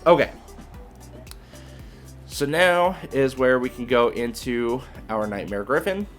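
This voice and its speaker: A man talks through his gameplay in a casual, informal way, working out each step aloud. His voice sits at an average pitch, with music playing behind him. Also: expressive, takes it slowly. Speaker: video creator commentating on own card game play. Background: background music.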